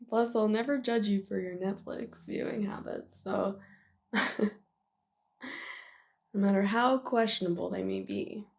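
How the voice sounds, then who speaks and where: A woman's voice: mid-range voice, vocal fry, darkish tone. A woman playing a shy, flustered young office worker confessing a crush in a nervous, rambling, giggly ASMR roleplay. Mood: hopeful, bashful. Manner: nervous, halting, rambling, giggly, self-deprecating. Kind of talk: intimate ASMR roleplay monologue.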